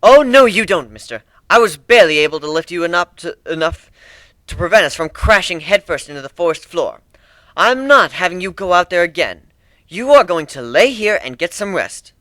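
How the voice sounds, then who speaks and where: A fretful female unicorn, acted out by a man for a fantasy story reading. The voice is raised high and bright, with a bossy, mothering, scolding manner and a close dry sound. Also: sing-song, moderate pace, dry recording, exaggerated voice.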